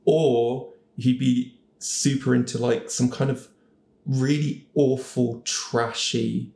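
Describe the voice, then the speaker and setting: A man's voice: mid-range voice, fairly even intonation, takes it slowly, a little mellow. A man chatting casually as a panellist, thinking aloud with some hesitation. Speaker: podcast panellist, voice actor. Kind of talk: casual chat. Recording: roomy, echoey voice pickup.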